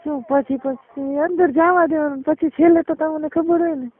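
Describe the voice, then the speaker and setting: A woman's voice: high voice, steady tone, moderate pace. A woman with a Gujarati accent chatting casually and colloquially, explaining things and often checking that the listener follows. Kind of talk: casual private phone chat. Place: recorded phone call. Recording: muffled narrowband phone call recording.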